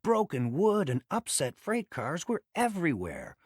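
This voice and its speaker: A man reading a children's read-along story as a scripted, dramatic and expressive narration. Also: a little high, measured pace, breathy edge, dry recording, clean studio sound.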